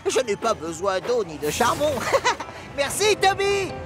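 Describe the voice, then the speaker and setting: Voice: mid-range voice, wildly swooping pitch, measured pace, music in the background. An actor voicing an eager, naive young male diesel engine, chatty and childlike, in a French children's cartoon dub. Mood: friendly, curious.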